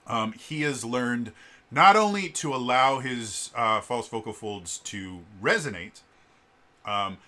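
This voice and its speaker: Low-voiced man vlogging about singing. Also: pushed and bright. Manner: halting, frequent fillers and stammered restarts; shifts to gravelly vocal fry demonstrations. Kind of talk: casual explanatory vlog.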